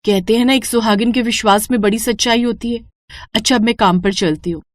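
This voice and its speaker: A woman's voice: a little high, fairly quick, no room sound, clean studio sound, close to an everyday voice. A woman voicing a polite, earnest young food-seller in a cartoon moral story.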